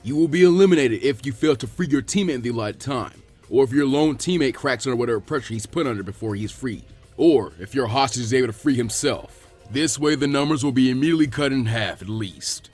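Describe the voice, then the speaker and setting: A man voicing a gruff, imposing ninja exam proctor who commands and sneers in an anime fan fiction reading. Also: mid-range voice, fast, hardly any pauses, darkish tone, faint music behind, close to an everyday voice. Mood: menacing.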